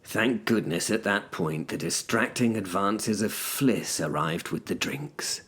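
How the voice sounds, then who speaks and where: An actor playing an urbane, pompous gentleman who narrates a story in a theatrical, wry, formal way. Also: fairly low pitch, expressive, measured pace, breathy edge, heavily acted. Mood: smooth, sardonic. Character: urbane, pompous gentleman narrator, self-styled practised orator. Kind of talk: scripted first-person story narration.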